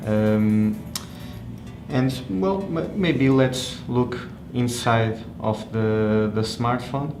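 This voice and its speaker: Halting man over music in an echoey room. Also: medium pitch. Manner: halting, hesitant delivery with frequent pauses and repeated words. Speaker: tech reviewer presenting a phone. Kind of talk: unscripted hands-on product review.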